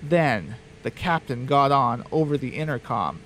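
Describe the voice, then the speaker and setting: Lively male storyteller, swooping pitch. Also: unhurried, rough recording. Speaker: narrator. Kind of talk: scripted comic storytelling narration. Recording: faint background noise.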